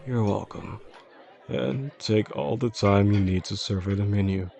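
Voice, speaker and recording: Man acting low, gravelly, attentive romantic boss. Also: measured pace, dark tone, faint music behind. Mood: warm, attentive. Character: charming attentive boss courting his employee, quietly possessive man. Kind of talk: intimate audio roleplay, addressing the listener. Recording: faint restaurant crowd behind the voice.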